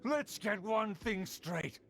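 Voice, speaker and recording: An actor playing a pompous, domineering older clan elder in a video game, haughty, indignant and declamatory. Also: male voice, high voice, expressive, slow, exaggerated voice. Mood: scolding, scheming. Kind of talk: theatrical video game villain acting. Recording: game dialogue over background music.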